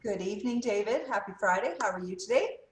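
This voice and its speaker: A woman's voice: medium pitch, lively intonation, fast talker. A woman co-hosting a casual interview, offering short, encouraging replies and questions. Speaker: co-host. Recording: echoey room.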